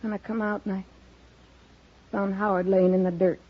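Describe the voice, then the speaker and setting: An actress playing a proud, bitter, plain-spoken frontier homesteader with a rural American accent in a 1950s radio western, terse and stern. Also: female voice, mid-range voice, level tone, normal speed, breathy edge, lightly acted. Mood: bitter, resigned. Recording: muffled old radio recording.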